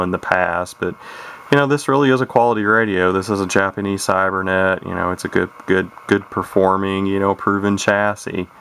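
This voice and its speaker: A man's voice: low-pitched, creaky, fairly bright tone. A man giving a casual, unscripted demonstration as a radio hobbyist, rambling and hesitant in a folksy way. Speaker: vintage radio hobbyist presenting a video. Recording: noisy home video, radio static. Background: noise and CB radio chatter.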